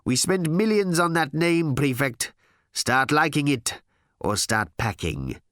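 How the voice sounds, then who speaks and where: A man voicing a smooth, cold, pompous editor-in-chief in an audiobook, speaking in a deliberate, condescending manner. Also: fairly high pitch, animated, a bit bright, no room sound, studio quality, pitched above the performer's own voice. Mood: grandiose, insistent.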